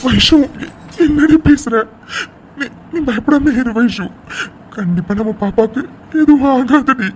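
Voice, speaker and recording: A woman playing an anxious young husband in an emotional audio drama, pleading tenderly and haltingly as he reassures his wife. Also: high-pitched. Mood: pleading, tearful. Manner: pleading, halting, repeats words, tearful. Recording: faint background noise.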